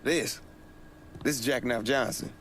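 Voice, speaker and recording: A man's voice: medium pitch, steady tone, measured pace, a bit bright, a little hiss or hum, close to an everyday voice. A man playing an easygoing, streetwise fight handler in a crime video game, talking casually. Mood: brash. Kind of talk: naturalistic video game acting.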